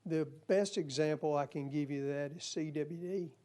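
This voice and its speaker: A man's voice: fairly high pitch, moderate pace, a little mellow. A man testifying at a legislative hearing, earnest and folksy, and he is losing his voice. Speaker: commission nominee.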